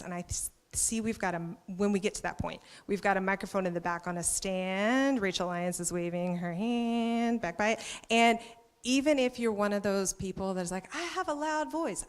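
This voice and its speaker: A woman author giving a measured academic talk on a stage, then hosting a panel. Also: medium pitch. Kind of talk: public lecture then moderating a panel. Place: event stage with live audience. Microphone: handheld stage microphone.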